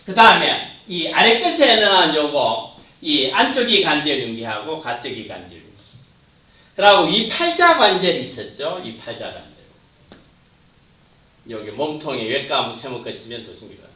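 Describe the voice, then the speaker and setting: Male lecturer, lively pitch, muffled distant room recording. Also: moderate pace, roomy sound. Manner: points at slides, trails off at phrase ends. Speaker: anatomy lecturer. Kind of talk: classroom lecture.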